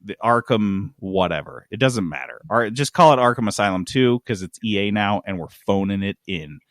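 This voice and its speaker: Male podcast host, lively swooping intonation, sarcastic comedic riffing, dry sound. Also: lowish voice, moderate pace, no echo. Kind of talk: casual comedic gaming chat.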